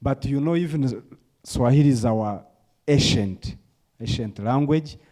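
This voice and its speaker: A man speaking as a conference panelist, slow and in a storytelling way. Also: medium pitch, mellow. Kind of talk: panel discussion remarks.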